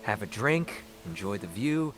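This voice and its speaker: A man's voice: lowish voice, very animated, unhurried. A man playing an idle, philosophical scholar in a video game, an easygoing senior brother with a mock-wise manner. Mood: easygoing, self-satisfied. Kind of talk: video game character acting. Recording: loud music behind the voice.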